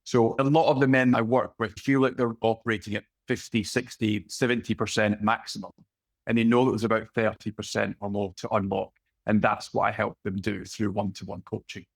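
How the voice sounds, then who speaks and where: Scottish guy late 30s, gravelly voice, dry close sound, laid back storytelling. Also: fairly low pitch, no room sound. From west of Scotland. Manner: relaxed, unhurried storytelling with fillers. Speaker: podcast guest, coach. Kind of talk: conversational storytelling.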